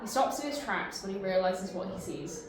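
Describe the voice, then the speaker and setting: A woman's voice: mid-range voice, lively intonation, normal speed, heavy reverb, noisy background. A woman reading narration and stage directions at a table read.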